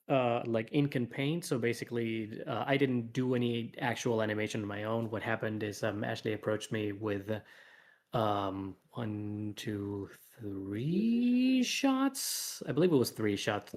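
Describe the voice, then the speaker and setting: A man's voice: mid-range voice, measured pace, a little mellow. A man talking casually as a guest creator in an interview, thinking aloud and rambling a little as he explains. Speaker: guest comic author and animation artist. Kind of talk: casual livestream interview talk.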